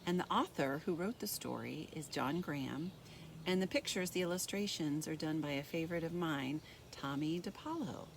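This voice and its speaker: A woman reading a picture book aloud as a story time host, speaking deliberately and expressively to young children. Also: low-pitched, creaky, slight background noise. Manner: deliberate, expressive, addressing young listeners directly.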